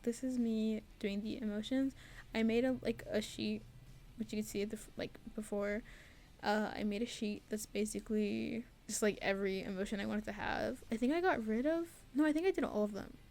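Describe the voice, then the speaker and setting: A woman's voice: medium pitch, fairly quick, creaky, darkish tone, a little hiss or hum. A young adult woman giving a casual, rambling devlog voice-over in an informal, self-deprecating, giggly way. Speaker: student game developer and artist.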